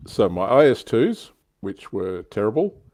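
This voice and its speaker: Male livestream host, low register, wry and self-mocking, conversational, very dry recording. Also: very animated, measured pace, dark tone, no echo. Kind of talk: casual chat about tabletop wargaming.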